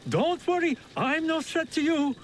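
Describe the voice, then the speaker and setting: A man's voice: extremely high pitch, a little slow, a little hiss or hum. A man playing a cowardly, self-pitying gambler husband on the run, jittery and pleading, in a video game cutscene. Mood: nervous, evasive.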